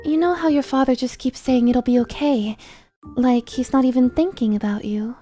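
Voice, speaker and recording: Woman acting as anxious mother, high voice, coaxing, fussy, insistent, dramatic delivery, soft background music. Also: normal speed, close to an everyday voice. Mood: worried, coaxing. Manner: fussing, pleading, insistent. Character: anxious, coaxing mother nagging her troubled eldest son.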